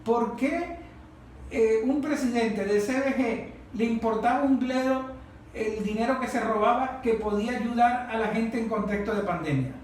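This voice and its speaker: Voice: medium pitch, normal speed, darkish tone. The speaker has a Venezuelan accent and leads a political discussion with emphatic, didactic delivery, repeating phrases to drive points home. The recording is heavily reverberant, with faint noise underneath. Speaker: discussion leader. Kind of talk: impassioned political talk to a group. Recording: very echoey room, faint noise.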